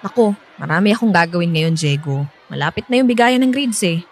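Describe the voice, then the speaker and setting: A woman's voice: fairly low pitch, expressive, a little fast, slight background noise. A Filipino woman playing a lovelorn, conflicted schoolteacher in a radio drama. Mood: uneasy, firm. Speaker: voice actor and narrator in a radio drama. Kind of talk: Filipino radio drama acting and first-person narration.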